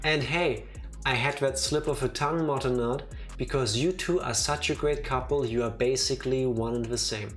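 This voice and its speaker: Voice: medium pitch, moderate pace. A streamer chatting casually and teasingly while commentating on a game live. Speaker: streamer playing and reading out a game. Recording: music behind the voice. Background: music.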